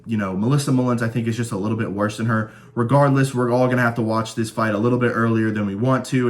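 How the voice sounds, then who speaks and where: A young man hosting a casual fight prediction show, joking and rambling, slightly stuffed up from a cold. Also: lowish voice. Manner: laid-back, joking, rambling with fillers. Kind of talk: casual sports prediction chat.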